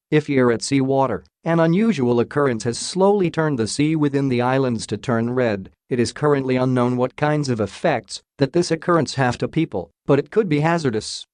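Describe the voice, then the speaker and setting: A man's voice: medium pitch, darkish tone, no room sound, natural voice. A man voicing a formal, dry emergency alert announcer. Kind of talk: formal scripted emergency broadcast message.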